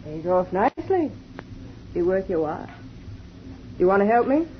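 Actress as a quick-witted, wry young writer with a lively, swinging voice. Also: female voice, medium pitch, lightly acted. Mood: sardonic. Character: sharp-tongued young woman pulp writer. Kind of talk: 1950s radio drama acting. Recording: muffled 1950 radio broadcast with faint hiss.

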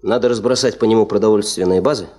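An actor playing an eager, businesslike male expedition leader in a 1970s radio drama, brisk and hearty. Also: on the low side, warm tone, natural voice.

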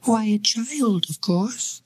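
A woman's voice: slow pace, a little mellow, breathy edge, full character voice. A woman playing an eerie, sly little girl spirit. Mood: coy. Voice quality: high, musical.

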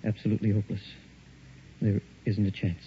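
A man's voice: fairly low pitch, monotone, a little fast, lo-fi sound, lightly acted. A man playing a grave, resigned surgeon with clipped delivery in a noisy old radio drama. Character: resigned, matter-of-fact male surgeon. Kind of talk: 1960s radio drama acting.

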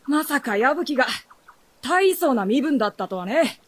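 A woman playing a resolute, cool-headed teenage boy with a husky voice in a radio drama. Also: high voice, animated, moderate pace, no echo. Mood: firm. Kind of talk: Japanese radio drama acting.

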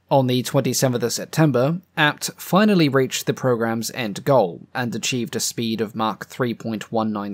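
A man narrating a scripted aviation history documentary in a measured, earnest way, his voice a bit uneven from having lost it. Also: mid-range voice. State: voice a bit up and down from losing it.

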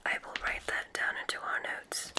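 A woman whispering an ASMR roleplay as a kindly witch examiner, giving gentle, reassuring instructions in a soft, unhurried way. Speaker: ASMR performer in a witch medical exam roleplay.

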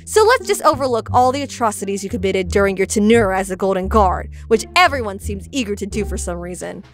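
A woman's voice: medium pitch, expressive, a little fast, soft background music, close to an everyday voice. A woman voicing an earnest, defensive teenage witch girl in a comic dub, speaking in an articulate, emphatic way. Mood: indignant, accusing. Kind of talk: comic dub character acting.

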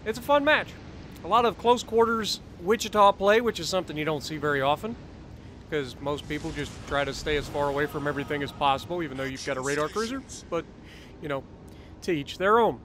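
A man explains his own gameplay in a slightly high, somewhat off voice, clearing his throat between thoughts. He teaches tactics with lots of uh's and restarts. Game gunfire and engine noise sit clearly under his voice. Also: a little fast, fairly bright tone. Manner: explanatory, instructive, many uh fillers and restarts. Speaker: gameplay commentator. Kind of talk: recorded game commentary.

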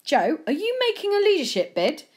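A woman voicing a pushy, excited news reporter in a children's story, questioning urgently. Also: sits high, a little fast, voice pushed up. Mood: insistent.

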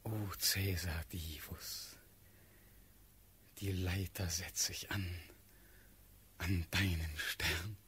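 An actor playing an ardent, impetuous young prince in a classical radio drama, with a breathy, very husky voice and impassioned delivery. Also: male voice, sits low, steady tone, drawn-out words, long pauses, bright, forceful tone, a little hiss or hum, heavily acted. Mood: rapturous.

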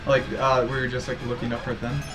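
A man's voice: mid-range voice, flat delivery, fast talker. A young man streaming a game from a small room, chatting and giggling as he reads out chat messages. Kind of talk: casual live-stream chat. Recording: game music underneath.

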